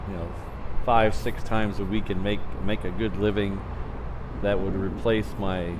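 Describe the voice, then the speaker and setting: A man's voice: on the low side, level tone, a little slow. A man hosting a live plane-spotting stream outdoors, rambling in a laid-back, chatty way. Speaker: livestream host, plane spotter. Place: outdoors near an airport runway. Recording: outdoor traffic noise. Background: road traffic.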